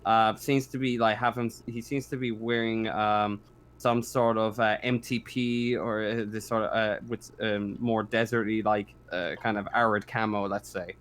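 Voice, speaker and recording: A man acting as game master, narrating and ruling on a tabletop roleplaying game in a casual, halting way that repeats phrases. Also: lowish voice, flat delivery, a bit bright, smooth.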